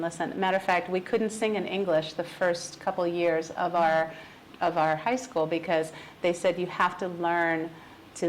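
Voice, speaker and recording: A woman aged fifty-nine chatting casually as an interview guest, talkative and quick to laugh. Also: lowish voice, slight background noise, low-quality recording. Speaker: podcast guest, business owner.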